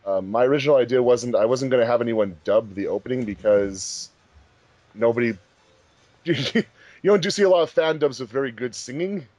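A man's voice: mid-range voice, expressive, normal speed, a little mellow, no echo. A man hosting a casual group commentary track, talking informally as the director of a fan project. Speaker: host, fandub director.

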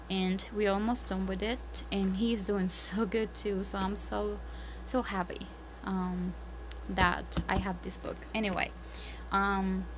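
A woman's voice: mid-range voice, rough recording. Chatty woman with a Spanish accent. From Spanish-speaking country. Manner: chatty, earnest, many ums and restarts. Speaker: homeschooling parent. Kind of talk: casual tips vlog. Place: home, at a table, indoors. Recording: audible background noise.

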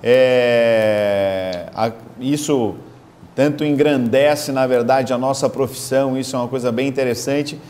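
A man in his thirties with a Brazilian Portuguese accent, giving earnest closing remarks in a studio on a lapel mic, with lively gestures and frequent fillers. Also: mid-range voice, unhurried. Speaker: guest professor in the studio. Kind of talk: closing remarks on a talk show. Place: studio set.

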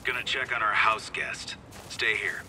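A man's voice: fairly low pitch, flat delivery, a little slow, pushed and bright, slight background noise, poor audio. An actor playing a terse male security guard speaking in clipped orders. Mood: alert.

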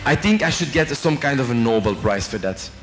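A man's voice: medium pitch, animated, brisk, fairly bright tone. A middle-aged man from Belgium with a French accent, giving a chatty, joking business talk to students. He speaks into a handheld microphone, asks the audience questions and tags his sentences with "eh?". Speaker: company executive giving a guest lecture. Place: large hotel function room with a student audience. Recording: long reverb in a big hall, faint music.

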